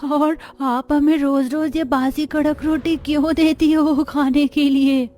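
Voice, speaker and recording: A woman's voice: sits high, level tone, takes it slowly, mellow. A woman voicing a poor, hungry child in a cartoon, whining and plaintive. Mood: whining, miserable. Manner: plaintive, whiny. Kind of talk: cartoon voice acting.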